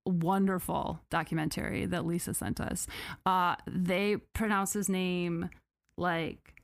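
Low-voiced chatty American woman, dry mic. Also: slow, vocal fry, no echo. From United States. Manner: chatty and animated, many "like" fillers, jokey asides. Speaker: podcast co-host. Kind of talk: casual chat podcast.

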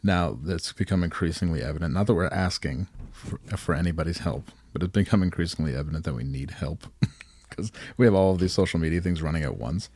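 A man's voice: low voice, fairly quick, darkish tone, husky. A man co-hosting a podcast in casual chat, joking, laughing often and swearing. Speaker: podcast co-host.

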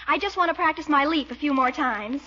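A woman's voice: high-pitched, a little fast, close to an everyday voice. An actress playing an earnest, stubbornly hopeful sixteen-year-old niece in a 1940s radio comedy, with a girlish manner. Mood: eager determination. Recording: dull vintage radio recording.

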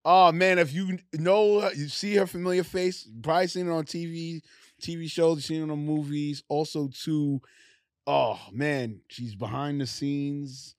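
Male podcast host, loose and excitable, slightly high-pitched, dry studio sound. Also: measured pace, no echo. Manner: hyped, loose and slangy, many restarts. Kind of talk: casual interview chat.

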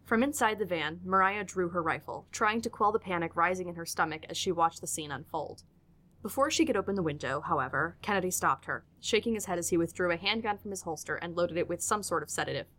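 Female narrator, lowish pitch, bright forceful tone, scripted audiodrama storytelling. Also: fast talker.

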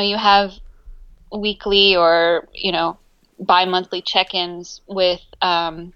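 A woman's voice: mid-range voice, smooth, no echo, muffled recording. A woman musician being interviewed in a casual chat, speaking hesitantly with many ums and easy laughter. Her first language is Greek. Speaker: musician guest.

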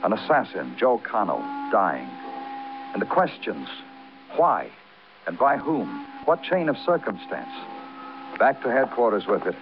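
An actor playing a world-weary American police detective, terse and brooding, in old-time radio crime drama style. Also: male voice, on the low side, big pitch swings, a little slow. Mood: brooding narration. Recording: muffled vintage radio broadcast, music behind.

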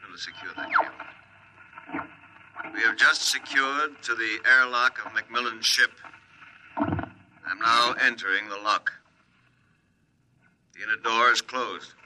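A man playing a solemn military rescue spaceman in an old radio drama, speaking formally and deliberately through a throat-mic radio effect. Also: mid-range voice, bright, forceful tone. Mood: businesslike, tense. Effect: throat mic radio transmission. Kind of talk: 1950s radio drama acting. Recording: noisy old radio, harsh transmission sound.

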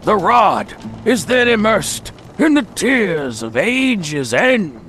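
Radio drama villain: ancient sinister sorcerer, very high voice, drawn-out incantatory delivery. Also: male voice, very animated, fairly bright tone, soft background music, full character voice. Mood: ominous. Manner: slow, grandiose, incantatory delivery. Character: sinister old male sorcerer leading a dark ritual. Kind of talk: old-time radio drama acting.

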